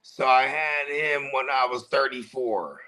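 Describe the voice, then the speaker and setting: A man's voice: mid-range voice, measured pace, pushed and bright. A man about forty co-hosting a casual stream chat, telling rambling easygoing stories. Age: 40s. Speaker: podcast co-host.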